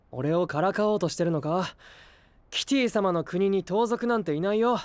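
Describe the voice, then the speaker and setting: An actor playing an earnest, composed and modest young knight in an anime-style voice drama, speaking straightforwardly. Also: male voice, high-pitched, unhurried, no room sound. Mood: wary. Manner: expressive, straightforward. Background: music.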